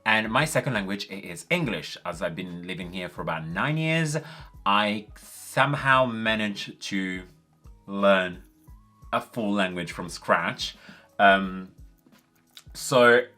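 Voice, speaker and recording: A man's voice: lowish voice, animated, takes it slowly, bright, forceful tone, faint music behind. A man from Italy, speaking English as a second language with an Italian accent, chatting casually with lots of ums and likes while doing his makeup. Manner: chatty, many fillers like um and like. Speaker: beauty vlogger.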